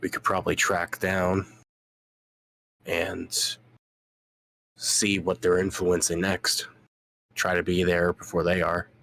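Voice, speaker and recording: Deep-voiced male player. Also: completely flat delivery, slow, long pauses, fairly bright tone, a little hiss or hum. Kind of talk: tabletop game roleplay.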